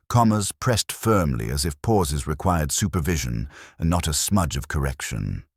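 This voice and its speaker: A man reading a scripted mystery story in a dry, arch, measured manner. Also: very deep voice, breathy edge, dry recording. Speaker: narrator.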